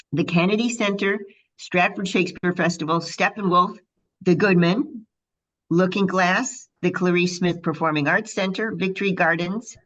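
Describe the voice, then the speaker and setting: A woman's voice: fairly low pitch, dull audio. A woman with a Midwestern accent hosting a radio interview, chatty and bubbly, with frequent fillers. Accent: Midwestern American. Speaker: radio show host.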